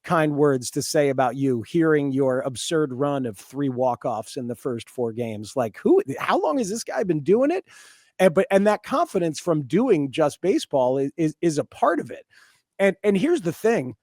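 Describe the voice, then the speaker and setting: An American man speaks as a sports podcast host in casual, wordy commentary. His pitch is a little high for a man, and he lands his points with emphasis. The recording is close and dry. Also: big pitch swings, moderate pace, darkish tone, no room sound.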